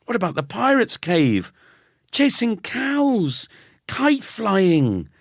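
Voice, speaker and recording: A man voicing an eager, playful boy who calls out excitedly in a storybook reading. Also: high-pitched, slow, no echo, clean studio sound, pitched above the performer's own voice, full character voice. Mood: thin pleading. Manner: excited, calling out. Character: eager boy narrator, bold, playful challenger and pleader.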